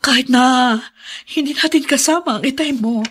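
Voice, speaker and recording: A woman's voice: sits high, normal speed, fairly bright tone, slightly rough. A woman with a Filipino accent playing a remorseful, imploring mother in a melodramatic radio drama. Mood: pleading. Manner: tearful, imploring. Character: remorseful, pleading mother of a grown daughter.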